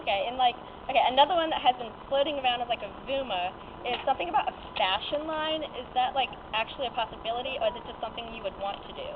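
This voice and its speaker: A young woman conducts an informal interview, a little hesitant as she feels her way into each question. Her voice comes through a thin, tinny phone line with clear noise. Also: fairly high pitch, lively intonation, rapid-fire, few pauses. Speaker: fan-site interviewer.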